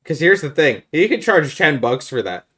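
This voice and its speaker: A man's voice: fairly high pitch, big pitch swings, moderate pace, fairly bright tone, breathy edge. A man hosting a casual podcast chat about video games, making his points in an informal, persuasive way. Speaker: podcast host.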